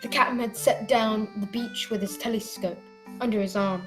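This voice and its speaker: A woman's voice: medium pitch, fairly even intonation, normal speed, music in the background, lightly acted. A woman playing a polite, earnest young boy who narrates his adventure in a radio play. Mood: calm storytelling.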